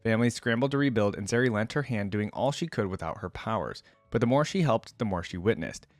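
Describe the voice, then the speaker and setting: A man's voice: fairly low pitch, animated, fast, no echo. A man hosting a reaction video, commenting casually and reading written lore aloud. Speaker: YouTube reaction host.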